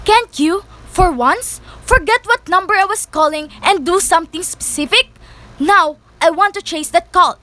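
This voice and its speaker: A woman's voice: extremely high pitch, moderate pace, bright, forceful tone. A teenage girl in an amateur radio play, playing an anxious, insistent, sickly woman alone at home. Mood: exasperated, demanding. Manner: agitated, insistent, pleading. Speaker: student actor.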